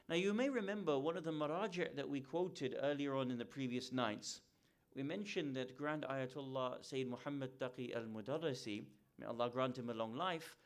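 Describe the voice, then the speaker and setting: A man delivering a lecture-style sermon to a religious gathering, measured and emphatic, often putting rhetorical questions to his listeners. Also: medium pitch.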